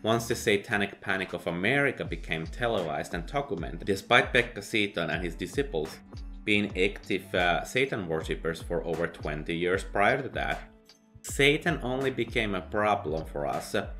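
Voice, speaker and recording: Sarcastic man, low voice. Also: lively intonation, normal speed, pushed and bright, soft background music. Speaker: book reviewer. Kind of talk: sardonic video essay narration.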